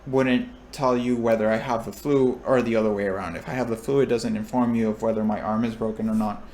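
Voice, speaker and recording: A man's voice: fairly low pitch, fairly even intonation, fairly quick, darkish tone, slight background noise. Young man teaching, thinking aloud. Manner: thinks aloud with frequent restarts and checks in with listeners. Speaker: recitation instructor. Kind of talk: explaining a lecture topic.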